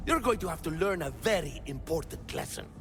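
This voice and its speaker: A proud, aggrieved nobleman of a mythical city, in a video game. His speech is solemn, formal and measured, with music clearly behind it. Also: a little high, sing-song. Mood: cool, measured. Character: proud, aggrieved male heir to a mythical city's rule. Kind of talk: video game cutscene acting.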